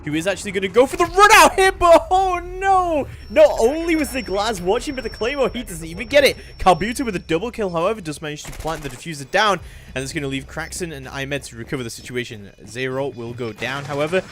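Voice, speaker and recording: A man's voice: high voice, fast talker, hardly any pauses. Male esports commentator over background noise. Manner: analytical, hedging, swells into excited calls. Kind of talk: live video game commentary.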